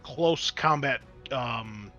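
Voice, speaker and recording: A man acts as game master for a tabletop session, talking informally and getting excitable as he calls out the action. His voice sits at a middle pitch with a bright, forward edge. Soft music plays behind him. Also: very slow, dull audio. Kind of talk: narrating and refereeing a tabletop roleplaying game.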